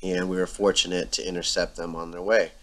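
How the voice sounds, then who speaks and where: A man calmly narrating his fishing video in a voice-over, explaining with frequent ums. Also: sits low, brisk. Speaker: angler and channel host.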